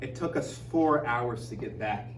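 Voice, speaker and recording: A man's voice: medium pitch, expressive, a little slow, a little hiss or hum, close to an everyday voice. A man playing a defensive, sentimental divorced father in a staged reading of a musical comedy. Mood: nostalgic. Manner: defensive, pleading, stammering when flustered. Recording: echoey distant stage pickup, prominent music.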